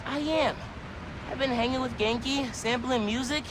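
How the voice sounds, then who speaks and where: An actor voicing an earnest teenage boy superhero with a youthful, lively delivery in a game cutscene. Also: very high-pitched, moderate pace, background noise.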